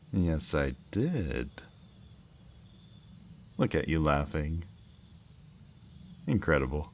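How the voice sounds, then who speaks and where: A man's voice: low-pitched, exaggerated voice. A man playing a smug, politely menacing kidnapper in an intimate ASMR roleplay, speaking to the listener in a teasing, mock-courteous way. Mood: amused, coaxing. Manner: slow, teasing, mock-courteous, lingering over words. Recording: faint background noise, chirping night crickets.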